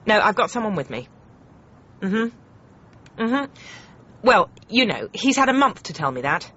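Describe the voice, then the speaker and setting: A worldly, domineering female TV producer in a radio comedy drama. Her delivery is brisk and very animated, with sardonic emphasis and a habit of cutting in. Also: medium pitch, slightly rough, muffled recording, heavily acted. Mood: curt, businesslike.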